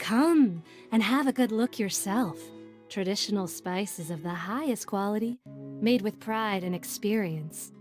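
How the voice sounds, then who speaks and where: A woman's voice: medium pitch, expressive, measured pace, a little mellow, soft background music, close to an everyday voice. An actress playing a proud spice seller calling out her wares in a game cutscene. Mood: hawking. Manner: salesy, inviting.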